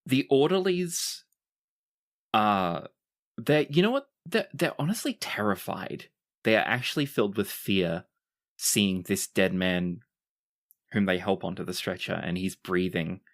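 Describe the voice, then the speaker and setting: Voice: low voice, expressive, a little slow. A game master narrating scenes and characters in a tabletop roleplaying game.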